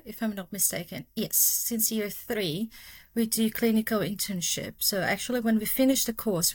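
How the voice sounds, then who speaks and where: Guest: brazilian woman, native portuguese, mid pitch, careful and hesitant, dry room. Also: no room sound, poor audio. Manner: careful, many fillers and self-corrections. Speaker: neuroscientist guest. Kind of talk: casual interview talk.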